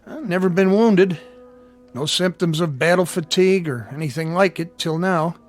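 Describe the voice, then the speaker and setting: An actor playing a frank, world-weary army medical sergeant in a war radio drama. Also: male voice, sits high, normal speed, faint music behind, natural voice. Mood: frank, puzzled. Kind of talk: audio drama acting.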